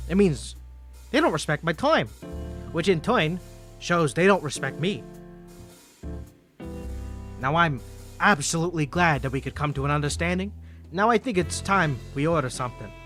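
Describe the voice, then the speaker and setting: A man's voice: fairly high pitch, animated, moderate pace, pauses often, fairly bright tone, soft background music. A man playing a suave, flirty mafia boss in a cartoony 1950s voice, speaking politely and self-assuredly in a scripted roleplay. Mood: smooth, self-assured.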